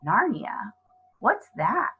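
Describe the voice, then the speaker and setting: A woman's voice: medium pitch, big pitch swings, drawn-out words, slightly rough, phone-quality sound, heavily acted. A woman voicing a curious, innocent little girl who is polite, hesitant and full of wonder, in a storybook reading. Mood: puzzled.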